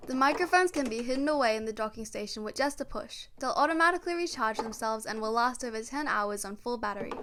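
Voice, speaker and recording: Woman, high voice, product reviewer, scripted unboxing narration. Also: lively intonation, fast talker, hardly any pauses, heavy background noise.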